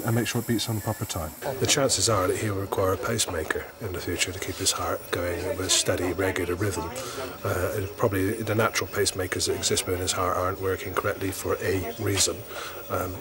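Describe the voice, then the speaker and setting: A man talks quickly and reassuringly to a patient while working as an emergency nurse. His voice sits a bit low. He is recorded on a lapel mic in a hospital room. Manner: jovial and reassuring. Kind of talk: talking with a patient.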